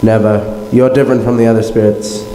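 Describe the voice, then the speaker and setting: A man's voice: on the low side, little pitch movement, a little fast, natural voice. A man playing a humbled old miser in a live radio play, earnest, hesitant and uneasy. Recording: very echoey hall, loud background noise.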